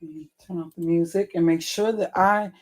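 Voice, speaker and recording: Livestream host, hoarse, deep worn voice, rambling, self-correcting, chuckles often. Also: fairly even intonation, normal speed, faint music behind. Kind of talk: casual livestream chat to viewers.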